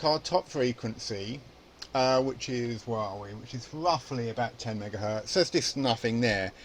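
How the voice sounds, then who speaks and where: A man with a mid-pitched voice gives an informal tutorial in a casual, explanatory way. He thinks aloud as he demonstrates, like a hobbyist teaching at the bench. A faint layer of noise sits behind his voice. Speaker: electronics hobby presenter.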